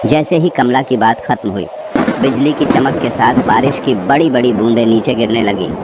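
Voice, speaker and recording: A man's voice: mid-range voice, moderate pace. Guy narrating a scripted scary story, midrange voice, noticeable ambient noise behind him.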